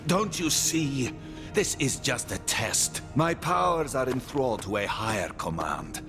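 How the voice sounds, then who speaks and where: An actor voicing a sneering, ominous supervillain in a video game, theatrical and scornful. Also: male voice, mid-range voice, lively intonation, a little slow, music in the background. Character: sneering, ominous male supervillain serving a higher power.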